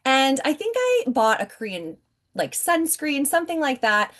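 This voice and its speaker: A woman's voice: high-pitched, wildly swooping pitch, normal speed, a bit bright. A woman in her forties presents a business opportunity from home over a video call. She is upbeat and chatty, and she keeps turning to her audience to address them directly. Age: 40s. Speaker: network marketing presenter. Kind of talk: sales pitch on a video call.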